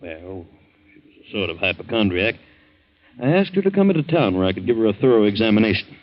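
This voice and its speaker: An actor playing a measured family doctor in a 1940s radio drama, speaking in a hesitant, deliberate way. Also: male voice, fairly low pitch, big pitch swings. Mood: grave, reflective. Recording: dull vintage 1940s radio recording.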